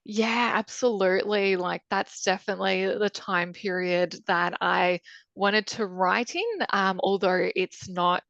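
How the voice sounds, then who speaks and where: A woman's voice: mid-range voice, steady tone, a little slow, creaky, a bit bright, no echo, lo-fi sound. A woman author, talking in a casual interview, thoughtful and chatty with many ums and restarts. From Croatia. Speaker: author guest.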